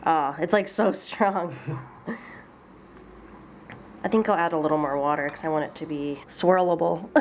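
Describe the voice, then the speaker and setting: A young woman talks in a casual, upbeat way, her voice a little stuffy from congestion. She sits slightly low in pitch and laughs easily between sentences. Her camera mic picks her up in a small apartment kitchen with a faint hum behind her. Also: expressive, unhurried, long pauses. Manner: chatty, upbeat, laughs often. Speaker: vlogger. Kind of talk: casual cooking vlog.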